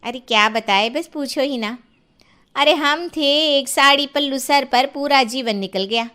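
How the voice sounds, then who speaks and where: Woman playing a high, dramatic gossipy village auntie. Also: measured pace, pushed and bright. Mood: grumbling, gossipy. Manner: dramatic, rustic, exclamatory grumbling. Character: gossipy, complaining older neighbour auntie in Hindi storybook tale.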